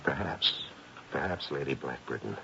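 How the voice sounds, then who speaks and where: Muffled, noisy radio drama. confident sleuth of the occult, very low register, animated, probing delivery. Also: male voice, vocal fry, full character voice. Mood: grave, ominous. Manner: deliberate, dramatic explaining. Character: confident male paranormal investigator, gray-haired, probing and theatrical. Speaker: actor. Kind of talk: old-time radio mystery acting.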